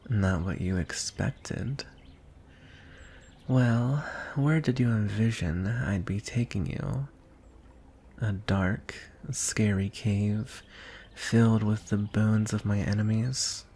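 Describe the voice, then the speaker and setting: A male dragon, voiced by a man for fantasy ASMR roleplay, smug and coaxing with a soft threat underneath. The voice is gravelly and fairly low, drawn out very slowly. There is some noise behind it. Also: steady tone. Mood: gentle, playful. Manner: slow, coaxing, softly threatening. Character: teasing, coaxing, smugly menacing male dragon.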